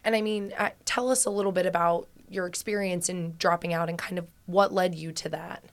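A young woman co-hosting a podcast, earnest and gushing as she speaks gently with her guest. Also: lowish voice, steady tone, a little fast. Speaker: podcast co-host. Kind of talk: casual podcast interview.

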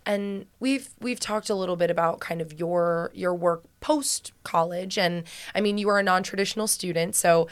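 A young woman co-hosting a podcast, earnest and gushing as she speaks gently with her guest. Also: on the low side, normal speed, hardly any pauses. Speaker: podcast co-host. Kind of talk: casual podcast interview.

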